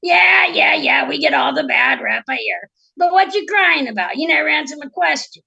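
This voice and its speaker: A small male talking frog from a fairy tale, acted out by a woman, pushy and slick. The voice is high and loud, full of slangy wheedling, like a street hustler pitching a deal. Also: animated, normal speed, vocal fry, lo-fi sound, heavily acted. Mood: cocky, indignant. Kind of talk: storytelling character voice.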